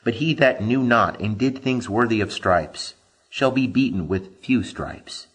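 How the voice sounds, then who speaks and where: A man reading scripture aloud as solemn scripted narration, in a measured, declamatory way with emphatic phrasing. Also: mid-range voice, breathy edge. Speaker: Bible reader.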